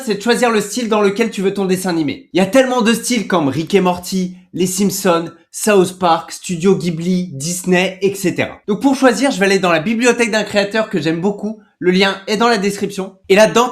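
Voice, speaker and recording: A man's voice: high-pitched, expressive, normal speed, few pauses. A man hosting a tutorial in a casual, explanatory way, talking informally and directly to the viewer. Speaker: tutorial host.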